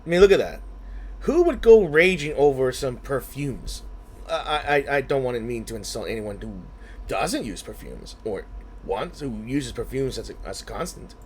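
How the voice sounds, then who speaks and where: Animated young man doing casual game commentary. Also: medium pitch, moderate pace, a little hiss or hum. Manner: reacts aloud, conversational, loosely phrased. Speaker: gaming video host.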